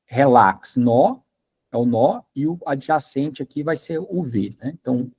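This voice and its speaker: A man lecturing to his class with a Brazilian Portuguese accent and a husky, breathy edge to his voice. His pitch sits in the middle range. He keeps the tone relaxed and conversational, peppering his explanations with little checks on whether everyone is keeping up. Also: a little slow. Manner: chatty, asks students if they follow, many fillers. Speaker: university lecturer.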